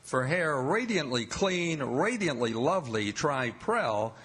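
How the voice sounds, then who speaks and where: A man's voice: mid-range voice, sing-song, takes it slowly, a bit bright, breathy edge. A man announcing in the style of a vintage radio show, with a polished salesman's delivery.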